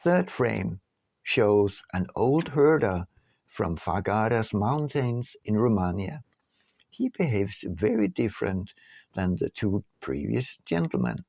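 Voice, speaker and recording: A man narrating an audiobook from a script in a measured, deliberate way with emphatic phrasing. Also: on the low side, gravelly, dark tone, no room sound, muffled recording. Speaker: author reading his own book.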